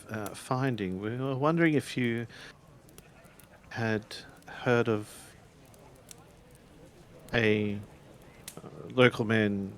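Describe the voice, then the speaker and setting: Man playing a slow, courteous samurai investigator. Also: lowish voice. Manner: formal, hesitant. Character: courteous male samurai-era investigator making inquiries.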